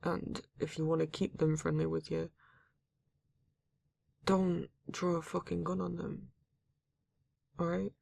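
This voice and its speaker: A performer voicing a grumpy, reluctant werewolf in an ASMR roleplay, exasperated and halting, with a husky, gravelly voice. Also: medium pitch, level tone, a little mellow, no room sound, heavily acted. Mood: firm warning. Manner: exasperated, sighing, swearing, halting delivery. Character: grumpy, reluctant werewolf, guarded but not cruel. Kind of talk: ASMR roleplay audio drama, intimate monologue.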